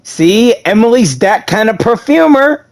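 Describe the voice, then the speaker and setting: High-voiced guy doing gameplay commentary. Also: expressive, measured pace. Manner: informal, interjecting, slangy. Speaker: gamer reading and reacting to a story game. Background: game music.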